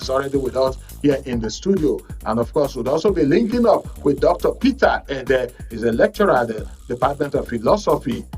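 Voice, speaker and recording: A man with a Nigerian accent presents a current affairs programme as its host, in a deliberate, formal broadcast delivery. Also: medium pitch. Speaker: radio and TV talk show host.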